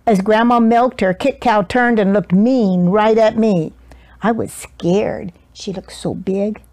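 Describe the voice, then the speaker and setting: Older woman reading a storybook, unhurried. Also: mid-range voice, fairly even intonation, darkish tone. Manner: deliberate, dramatic storytelling with sound words. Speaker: children's storybook reader. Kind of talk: expressive read-aloud of a picture book. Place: small cosy living room indoors. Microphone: small clip-on lapel mic.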